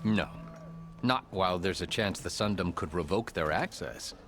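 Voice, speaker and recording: An actor playing an exasperated official in a video game, speaking in a formal, articulate and wry way. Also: male voice, low voice, normal speed, lightly acted. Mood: dry, explanatory. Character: exasperated male official opposing a troublesome rival. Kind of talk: scripted video game dialogue.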